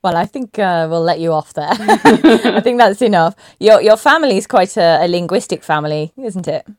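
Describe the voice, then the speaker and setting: Lively encouraging woman interviewer. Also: mid-range voice, moderate pace, no echo, studio quality. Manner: encouraging, with light laughs and affirmations. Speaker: podcast host interviewer. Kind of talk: friendly interview questions.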